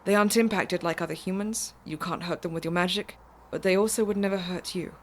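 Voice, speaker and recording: An actress in an audio drama playing a mysterious woman driver who is calm, insistent and reassuring. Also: fairly low pitch, a little fast, natural voice. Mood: earnest, knowing.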